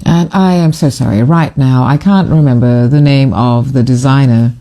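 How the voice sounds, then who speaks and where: Middle-aged photographer, from Fiji, warm mellow voice, rambling, gushing, casual talk to camera. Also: mid-range voice, moderate pace. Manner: rambling and digressive, with many fillers and restarts; gushing, enthusiastic. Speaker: photographer and author presenting a book. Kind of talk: casual live commentary to camera.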